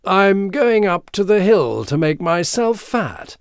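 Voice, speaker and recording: A man voicing a middle-sized, anxious billy goat in a children's storybook, in a fine, clear voice. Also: sits high, sing-song, slow, dry recording, clean studio sound, pitched above the performer's own voice, heavily acted. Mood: nervous.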